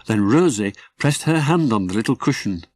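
A man reading his own children's story as a measured, scripted narration. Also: medium pitch, expressive, dry recording.

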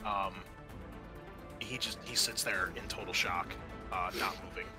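A man's voice: low voice, steady tone, a little slow, music in the background. A man narrating a tabletop roleplaying game as game master, casual and thinking aloud as he goes.

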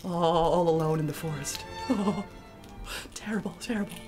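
Lady doing a gloomy moany grim reaper puppet, lowered voice, melodramatic, music behind. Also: normal speed, natural voice. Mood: mournful, reluctant. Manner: moaning, melodramatic. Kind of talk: theatrical live puppet-show comedy.

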